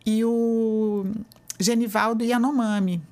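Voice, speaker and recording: A woman's voice: on the high side, fairly even intonation, slow pace, a little mellow. A woman professor with a Brazilian Portuguese accent, explaining at length as a podcast interview guest, thinking aloud with fillers. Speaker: professor and language lab coordinator.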